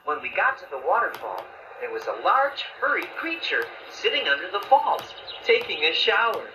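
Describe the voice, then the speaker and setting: A man's voice: high-pitched, huge pitch swings, moderate pace, background noise. A man playing a friendly, polite young adventurer who narrates a scripted children's story. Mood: warm storytelling. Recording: roomy, distant toy playback with music.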